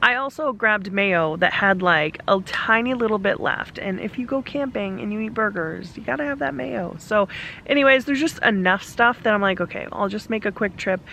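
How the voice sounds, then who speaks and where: A woman vlogging at a campground in casual chat, hoarse from a cough that is taking her voice, laughing often and filling her talk with "like" and "um". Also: mid-range voice, lively intonation, moderate pace, pushed and bright, a little hiss or hum. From Alaska. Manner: chatty, laughs often, lots of fillers. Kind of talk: casual vlog talk to camera. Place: outdoors at a campground.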